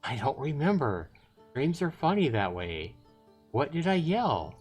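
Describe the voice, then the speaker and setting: Young fantasy heroine played by a man, nervy and indignant, lifted pitch, very animated delivery for a visual novel. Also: a little slow, husky, faint music behind, heavily acted. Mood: uneasy. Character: frightened, indignant young woman narrating her fantasy tale. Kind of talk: dramatized visual novel reading.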